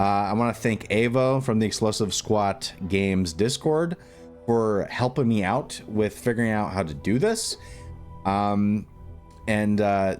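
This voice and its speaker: A man with a fairly low voice walks through a screen-recorded tutorial. He talks informally and thinks aloud as he goes, with music playing behind him. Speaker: video tutorial host and game modder.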